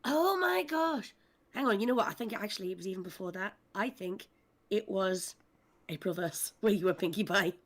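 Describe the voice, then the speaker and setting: A woman's voice: mid-range voice, expressive, moderate pace. A woman, a voice actor, chatting casually and teasing fellow panelists in an online group call.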